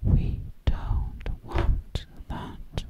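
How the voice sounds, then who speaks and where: A woman's voice: drawn-out words, muffled recording. A woman playing a sly, boastful witch in an ASMR roleplay, speaking breathily and close up, repeating words hypnotically. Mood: hypnotic, soothing. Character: sly, boastful female witch who takes people as coats. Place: small indoor room at a table. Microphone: close to the mic.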